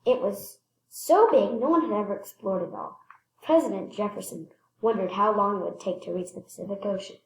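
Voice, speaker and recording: A woman reading a children's picture book aloud expressively, stressing words and pausing to point things out. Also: mid-range voice, reverberant, low-quality recording. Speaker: read-aloud storyteller.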